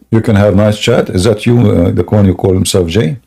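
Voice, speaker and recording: Husky raspy guy running a debate stream, keeps clearing his sore throat, laughs at stuff. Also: on the low side, warm tone. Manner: scoffing, laughs often. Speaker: livestream debate host. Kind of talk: mocking live debate chat.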